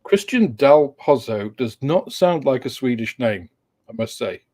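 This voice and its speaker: A man's voice: mid-range voice, animated, measured pace, darkish tone. A man hosting a casual livestream chat, welcoming viewers in a meandering, hesitant way with frequent filler sounds and light chuckles. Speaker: host of a model-building livestream.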